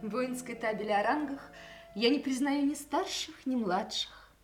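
A woman's voice: sits high, normal speed, soft background music, lightly acted. An actress in a radio play, playing a proud, ironic woman who teases her old love. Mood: wistful, playful. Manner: ironic, teasing, literate.

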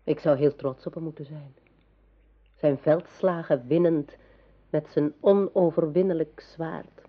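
Thin phone-like recording, resentful sorceress, husky, unhurried, coolly bitter, radio drama. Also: female voice, low voice, fairly even intonation. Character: brooding, resentful sorceress, the king's half-sister.

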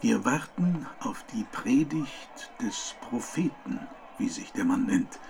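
Creaky, pompous male radio reporter. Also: medium pitch, sing-song, slow pace, darkish tone, exaggerated voice. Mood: self-important. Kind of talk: parody live outside-broadcast reporting.

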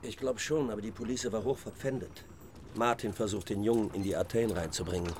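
An actor playing a discreet, matter-of-fact mourner in radio drama dialogue. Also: male voice, fairly low pitch, steady tone, brisk, a little mellow, slight background noise, lightly acted.

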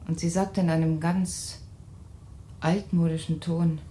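Actress doing anxious tender refugee woman, radio play, lowish voice, wistful, bit of room noise behind. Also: level tone, unhurried, mellow, close to an everyday voice. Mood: quietly hopeful. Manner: wistful, searching, dramatic phrasing. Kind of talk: intimate radio play dialogue. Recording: roomy sound with faint ambient noise.

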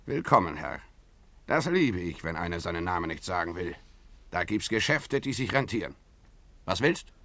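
An actor playing a swaggering, rough, drunken sea captain in a radio play, with a theatrical manner. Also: male voice, sits low, big pitch swings, normal speed, bright, forceful tone, exaggerated voice. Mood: sly, swaggering. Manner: theatrical, swaggering, bellowing calls. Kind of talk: German radio play acting.

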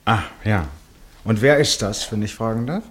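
An actor playing an eccentric, stubborn retired gentleman in a German radio play, musing and drily ironic. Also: fairly low pitch, moderate pace, breathy edge, no echo. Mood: dry, playful.

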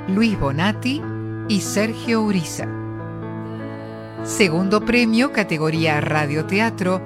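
A woman announcer reading scripted credits. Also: on the low side. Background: music bed.

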